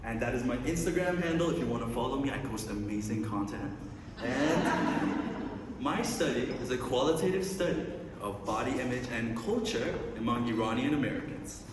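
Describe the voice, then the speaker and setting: A man's voice: mid-range voice, lively intonation, moderate pace, background noise. Iranian-man in an echoey lecture hall. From Iran. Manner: upbeat, playful asides between read-out slide points. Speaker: student researcher presenting. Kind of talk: conference presentation. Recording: echoey hall, mic at a distance.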